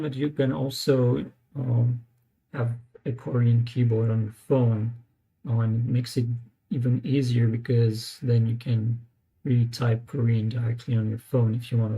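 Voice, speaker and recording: A man talking casually during a live screen-share, thinking aloud with many pauses and fillers as he tries out words. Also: medium pitch, warm tone, husky, low-quality recording. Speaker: language learner streaming live.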